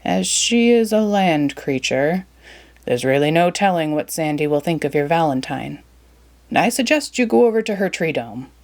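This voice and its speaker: A gruff, money-minded middle-aged cartoon crab boss, as a woman reader puts him on. He speaks low and slowly, with a gravelly edge. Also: animated, darkish tone.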